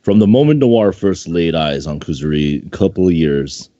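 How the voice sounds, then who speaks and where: A man's voice: sits low, expressive, normal speed, mellow. A man reading fan fiction aloud in an amateur, casual way, stumbling over the text and commenting on it. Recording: dull, roomy home microphone recording.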